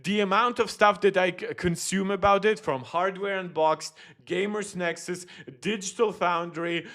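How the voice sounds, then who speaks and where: A young man from Germany reacts live on a stream in a fairly high voice. He rambles through strong opinions, stumbling over restarts and fillers as he goes. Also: fairly bright tone. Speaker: streamer reacting to a tech video. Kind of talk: casual live-stream commentary.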